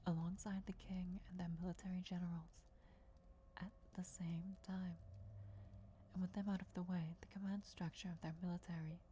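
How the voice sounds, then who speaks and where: A woman voicing a deferential vampire emissary in a fantasy ASMR roleplay, speaking in a formal, measured, breathy whisper. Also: lowish voice, slight background noise, natural voice. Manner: hushed, formal, measured, reverent. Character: deferential female vampire emissary briefing her lord before war.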